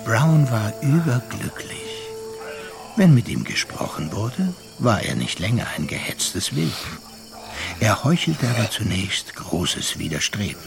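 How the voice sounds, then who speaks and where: A man narrating a literary radio play in a measured storytelling manner. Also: fairly low pitch, wildly swooping pitch, gravelly, exaggerated voice. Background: music.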